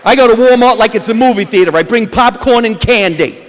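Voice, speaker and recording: A stand-up comedian with a New Jersey accent, delivering a deadpan wisecracking set onstage. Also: male voice, very high voice, a little fast. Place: comedy awards stage. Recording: long hall reverb from a stage.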